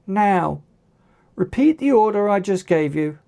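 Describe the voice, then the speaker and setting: Audiobook boy hero, acted by the narrator: commanding, forceful, measured pace, slightly raised pitch. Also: expressive, creaky.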